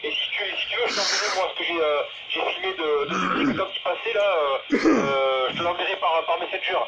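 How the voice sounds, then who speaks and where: Man, higher-pitched voice, casual radio operator chat, informal wording, muffled two-way transmission. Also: expressive, brisk, vocal fry, very noisy. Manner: informal, slangy.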